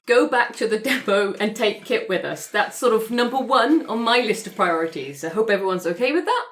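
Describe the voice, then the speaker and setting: A woman's voice: medium pitch, lively intonation, fairly quick, fairly bright tone. Woman roleplaying at the game table. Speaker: player in an actual-play podcast. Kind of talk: conversational in-character roleplay.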